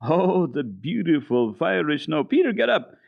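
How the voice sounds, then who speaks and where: A man voicing an eager little girl in an old radio storybook reading, exclaiming in a high falsetto. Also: big pitch swings, unhurried, gravelly, phone-quality sound, heavily acted. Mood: awed excitement.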